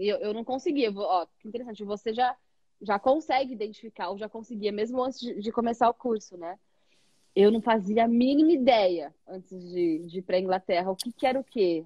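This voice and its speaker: A woman's voice: on the high side, mellow, dry recording, dull audio. A Brazilian woman with a Brazilian Portuguese accent, an English teacher chatting animatedly with a guest and using lots of tag questions. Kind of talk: casual conversation.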